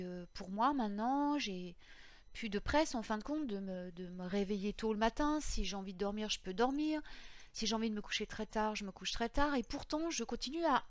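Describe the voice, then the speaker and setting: Woman, 30s, slightly hoarse, middle pitch, rambling podcast host, dry close recording. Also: dry recording. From France. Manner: rambling, many fillers and restarts. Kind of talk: casual spoken podcast.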